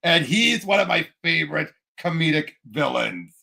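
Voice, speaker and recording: High-voiced, gravelly man in casual debate. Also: animated, moderate pace, bright, forceful tone. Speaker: podcast co-host. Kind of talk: casual film and game discussion.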